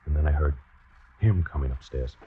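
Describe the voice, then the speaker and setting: An American actor playing a lovesick, nervous, reluctant killer in a 1940s-style radio suspense drama, narrating in the first person and halting under pressure. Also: male voice, very deep voice. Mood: tense narration. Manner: halting, hesitant, stammering when pressed. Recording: muffled, noisy old radio recording.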